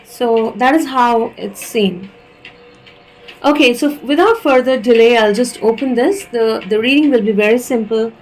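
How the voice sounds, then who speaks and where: A woman's voice: a little high, unhurried, a little mellow, poor audio. Indian woman giving a hesitant tarot reading. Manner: hesitant, many fillers and restarts. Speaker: tarot reader.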